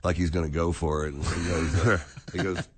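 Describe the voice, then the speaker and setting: Deep-voiced man chatting casually, dry sound. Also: little pitch movement, brisk, slightly rough, no room sound. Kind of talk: casual comic chat and anecdotes.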